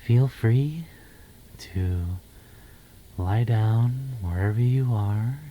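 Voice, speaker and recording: A man guiding a meditation in ASMR style, speaking softly and breathily, half in a whisper, with a soothing, gentle manner and pauses between phrases. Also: medium pitch, muffled recording, slight background noise.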